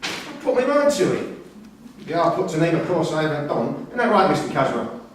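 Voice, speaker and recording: Defiant prisoner in stage play, blunt, highish voice, distant from the mic with some noise. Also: male voice, very animated, a little fast. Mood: cocky, insistent. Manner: blunt, sweary, combative. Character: defiant male prisoner, a father, quick to anger. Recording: distant echoey hall with background noise.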